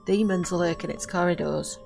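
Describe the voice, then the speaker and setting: A woman playing a centuries-old witch in an audio drama, commanding and formal, with measured, theatrical phrasing as she mentors a novice. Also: on the low side, gravelly, a little mellow. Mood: grave, instructive. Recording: voice over prominent background music.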